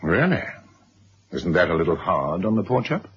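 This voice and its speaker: A man's voice: low voice, sing-song, moderate pace, breathy edge, heavily acted. An actor playing a domineering, condescending middle-aged wealthy father in a radio drama. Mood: coolly sardonic. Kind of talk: 1970s radio drama acting. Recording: muffled, hissy old radio drama recording.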